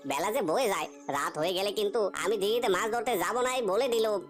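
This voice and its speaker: A woman voicing an anxious, talkative village fisherman in a cartoon story, with a hurried, fussing manner. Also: medium pitch, lively intonation, faint music behind, natural voice.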